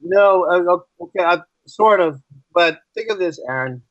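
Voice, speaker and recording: A man's voice: high voice, animated, moderate pace, fairly bright tone, no echo, muffled recording. An American man talking as a teacher being interviewed, making his points emphatically with repeated phrases and rhetorical questions. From United States. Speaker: podcast guest, teacher. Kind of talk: conversational interview.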